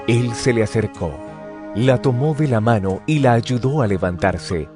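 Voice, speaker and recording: A man's voice: mid-range voice, a little slow. A man narrating scripture as scripted audio drama in a solemn storytelling manner. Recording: music bed behind the voice.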